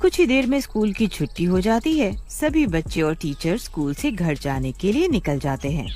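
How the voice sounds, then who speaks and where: A woman narrating a scripted story in an expressive, dramatic storytelling style. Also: lowish voice, moderate pace.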